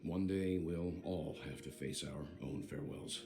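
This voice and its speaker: Stoic game character, super low gravelly voice, solemn, music under it. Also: male voice, steady tone, unhurried. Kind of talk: video game voice acting.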